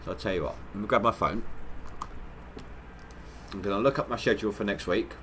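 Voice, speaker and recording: A man's voice: lowish voice, a little fast, fairly bright tone, a little hiss or hum. A man with a head cold, sounding nasal, giving rambling, stop-start commentary on his gameplay livestream. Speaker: gaming livestreamer.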